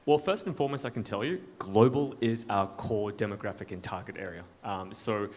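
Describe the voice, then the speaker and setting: A man's voice: fairly low pitch, brisk. A man on a conference panel answering an audience question in an informal way. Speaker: panelist representing a blockchain foundation. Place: large event space at a conference. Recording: echoey noisy hall, event PA.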